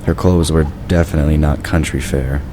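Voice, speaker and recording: A male narrator reads a scripted story. His voice is exceptionally deep and low. A faint layer of background noise runs underneath. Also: fairly even intonation, a little fast.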